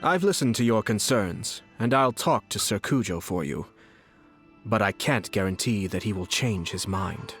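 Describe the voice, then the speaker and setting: An actor playing a poised young male clan commissioner in a game, speaking in a smooth, measured, politely threatening way. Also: fairly low pitch, expressive, fairly bright tone, soft background music, exaggerated voice. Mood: coolly menacing. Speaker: game character. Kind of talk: game voice acting.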